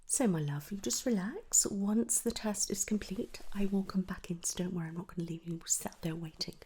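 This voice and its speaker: A British woman performing an ASMR medical roleplay as an audiologist, speaking softly and often whispering in a gentle, reassuring manner, close to the microphone. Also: mid-range voice, normal speed, breathy edge. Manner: gentle, reassuring, attentive, addressing the listener directly.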